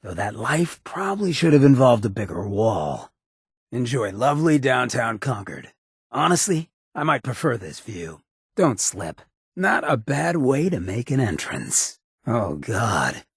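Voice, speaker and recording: An actor playing a swaggering, irreverent outlaw drifter in a video game, with a raspy, gravelly voice and a slangy, sardonic drawl. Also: male voice, medium pitch, sing-song, a little slow, dry recording, studio quality, full character voice. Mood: wry, sardonic. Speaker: video game companion character. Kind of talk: scripted game dialogue, wry banter.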